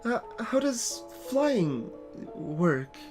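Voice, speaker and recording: A woman plays a young, innocent video game traveller, curious and unsure. The voice is fairly high and unhurried, with lively swings in pitch. Music runs behind it. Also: exaggerated voice. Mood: curious, nervous. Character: innocent, uncertain child traveller, curious and polite. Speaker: let's play voice-over reader. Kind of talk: acted reading of game dialogue.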